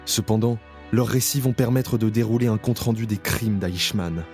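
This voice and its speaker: Male narrator, middle-aged, lowish voice, measured documentary delivery. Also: darkish tone, soft background music. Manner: measured, solemn reading.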